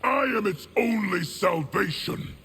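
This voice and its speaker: An actor playing a ruthless, proud male warlord general in a video game, boastful and threatening, often shouting. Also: high voice, animated, takes it slowly, a little hiss or hum, exaggerated voice. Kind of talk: video game character voice acting.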